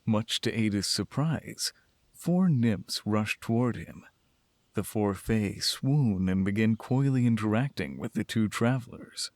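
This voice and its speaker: A man's voice: fairly low pitch, lively intonation, a little slow, darkish tone, no room sound. A man narrating a scripted fantasy audio drama as storyteller.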